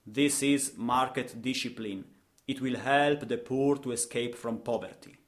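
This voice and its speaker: A man voicing a smug, callous British executive in a radio play, declaiming slogans slowly and with condescension. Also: mid-range voice, fairly even intonation, lightly acted. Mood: smug, preachy.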